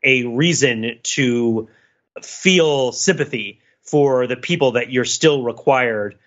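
Guy cohosting a film podcast, bright forward voice, rambly and analytical, bit lo-fi. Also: mid-range voice, slow pace. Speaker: podcast co-host. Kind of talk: casual film discussion.

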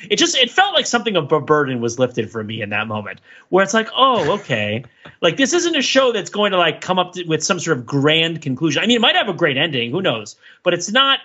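A man with a higher-pitched, very lively voice chats as a podcast panelist. He is emphatic, slipping into imagined quotes and chuckling mid-sentence. The sound is slightly dull and lo-fi. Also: slightly rough. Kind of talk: casual TV show discussion.

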